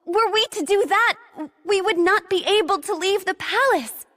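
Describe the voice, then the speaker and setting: An actress playing a deferential royal attendant in a video game, speaking formally. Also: female voice, very high-pitched, moderate pace, sharp tone. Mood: anxious, respectful. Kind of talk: dramatic video game acting. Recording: long hall reverb.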